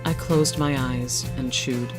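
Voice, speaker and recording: A narrator with a mid-pitched voice reads a scripted first-person short story. The delivery is measured and expressive, and music plays behind the voice.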